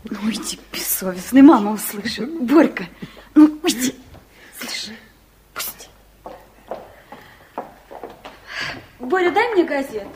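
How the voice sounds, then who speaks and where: A bashful young sweetheart in a 1960s Soviet radio drama. Her voice is fairly high. She sounds gentle and tender, someone easily moved. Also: animated, moderate pace, slightly rough. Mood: playfully scolding. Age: young adult.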